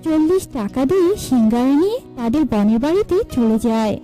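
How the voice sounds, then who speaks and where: A woman's voice: high-pitched, animated, brisk, warm tone, soft background music, low-quality recording. A woman narrator reading a scripted children's cartoon story.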